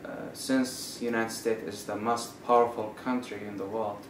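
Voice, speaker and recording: A young man from Saudi Arabia, a student, answering interview questions in a halting way with many fillers and restarts. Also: fairly low pitch, level tone. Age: young adult. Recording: roomy, distant mic with faint noise.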